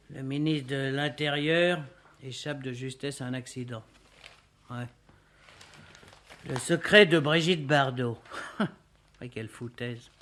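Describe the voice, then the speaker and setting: An actor playing a good-natured, excitable working-class sidekick, colloquial and exclamatory, in radio-play style. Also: male voice, mid-range voice, a little hiss or hum. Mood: reading, shocked. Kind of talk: French radio-play acting.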